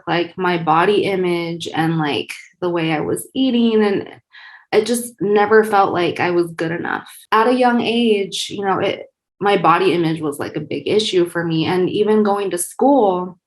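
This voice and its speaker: A young woman from Taiwan chats as an interview guest. She tells her story in a lively, casual flow, dotted with fillers. Her pitch sits in the ordinary middle range for a woman. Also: moderate pace. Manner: lively storyteller, many likes and you knows.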